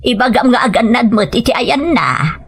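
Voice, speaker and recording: A woman voicing a gentle, concerned elderly mother-in-law with an Ilocano accent, in a motherly, cautioning manner for an audio drama. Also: a little high, expressive, normal speed, slightly rough. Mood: gently concerned. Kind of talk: radio-style drama acting.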